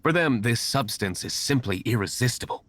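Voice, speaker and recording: A man playing a composed, brooding young aristocrat in a video game, speaking formally and in a measured way. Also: mid-range voice, expressive, a bit bright, no echo, lightly acted. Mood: explaining. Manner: formal, measured, well-spoken. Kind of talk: video game character acting.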